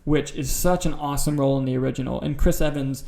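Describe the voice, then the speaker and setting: A man's voice: on the high side, steady tone, fast, creaky, mellow. A man co-hosting a podcast, chatting casually about film news in an opinionated, sweary, informal way. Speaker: podcast co-host.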